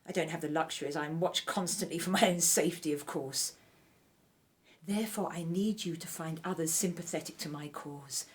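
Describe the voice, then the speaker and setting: A woman playing a ruthless, scheming royal wife of ancient Egypt in an audio drama, speaking in an imperious, deliberate, menacing way. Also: low-pitched, lightly acted. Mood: scheming, commanding.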